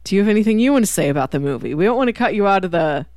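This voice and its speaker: A woman's voice: mid-range voice, animated, rapid-fire, a little mellow, slight background noise. A woman hosting a podcast, casually discussing films and drawing others in with questions. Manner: conversational, draws others in with questions. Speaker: podcast host.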